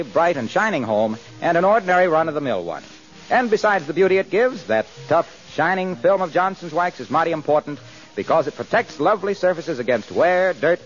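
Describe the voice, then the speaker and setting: A man reading a scripted 1940s radio advertisement in a polished, emphatic sales style. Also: a little high. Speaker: commercial announcer. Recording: dull 1940s radio recording.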